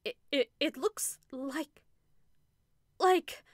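A woman's voice: on the high side, sing-song, brisk, no echo, clean studio sound. A woman voicing a frightened older woman who stammers in horror. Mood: scared. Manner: stammering, scared.

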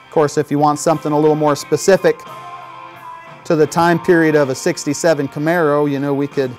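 A man's voice: on the high side, flat delivery, a little fast, soft background music. A man in his forties, a shop tech, giving a casual product demo in a parts shop, upbeat with lots of "you know" fillers. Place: shop set with shelves of parts.

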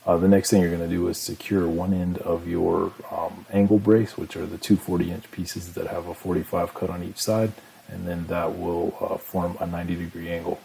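A man narrates building instructions in a very low, gravelly voice. He keeps a flat, even delivery with a dark tone and faint noise in the background. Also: moderate pace. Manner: steady step-by-step explaining with "uh" fillers. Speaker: DIY channel host. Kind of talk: instructional voice-over.

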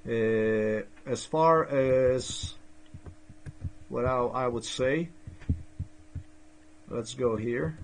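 A middle-aged man who learned English as a second language talks at his webcam in a small room. He stumbles over his words, repeats himself and fills gaps with "uh". His voice sits at an ordinary pitch for a man. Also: drawn-out words, pauses often, slight background noise. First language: not English. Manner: hesitant, many fillers and restarts. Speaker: vlogger. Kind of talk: rambling screen-share commentary. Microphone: webcam at desk.

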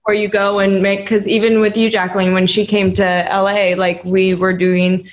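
A young woman hosting a podcast into a studio mic, talking in a relaxed, encouraging way. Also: mid-range voice, moderate pace, poor audio. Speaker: podcast host. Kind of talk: casual chat.

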